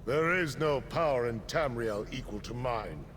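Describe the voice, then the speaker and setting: Slow, gloating villain priest, heavily reverberant. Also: male voice, mid-range voice, animated, fairly bright tone. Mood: gloating triumph. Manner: pompous, grandiose, deliberate. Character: triumphant villainous male high priest, gloating, menacing. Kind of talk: video game dramatic acting. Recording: long hall reverb, faint noise.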